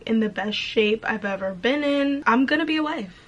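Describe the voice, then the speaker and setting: A woman's voice: on the high side, lively intonation, normal speed, muffled recording. A woman in her late twenties from the United States, chatting upbeat to the camera in her bedroom while putting on her makeup. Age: late 20s. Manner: chatty and upbeat, talking while gluing on lashes. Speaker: beauty vlogger. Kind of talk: casual chat to camera while doing makeup. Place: bedroom, indoors. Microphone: camera on desk facing her.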